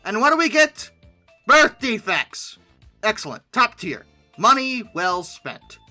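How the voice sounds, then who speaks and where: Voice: mid-range voice, sing-song, takes it slowly, bright, forceful tone, soft background music. A person giving humorous reaction commentary in a sarcastic, exasperated manner. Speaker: host of a commentary video.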